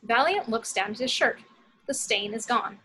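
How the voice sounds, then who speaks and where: Bright, husky, high-voiced woman narrator. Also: expressive, moderate pace, rough recording. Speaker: narrator reading action descriptions. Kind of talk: scripted narration at an amateur screenplay table read.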